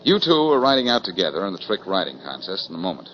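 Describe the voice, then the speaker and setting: An actor playing a cool, shrewd, wry detective in a 1940s radio drama. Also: male voice, low-pitched, very animated, quick pace. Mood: confident. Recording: muffled 1940s radio recording.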